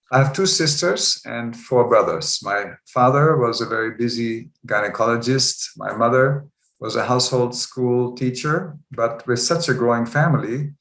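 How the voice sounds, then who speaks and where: A man recounts his life in an earnest, measured way. His voice sits at an ordinary pitch, and it rings in a roomy, echoey space. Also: a bit bright. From Germany. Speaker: guest. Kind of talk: interview storytelling. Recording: roomy echo.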